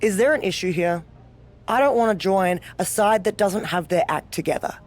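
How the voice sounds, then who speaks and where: A woman's voice: medium pitch, expressive, moderate pace, a little mellow, close to an everyday voice. A woman playing a cocky, dominant, sardonic wrestler who relishes chaos in a video game cutscene. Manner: sardonic, self-assured. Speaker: pro wrestler character.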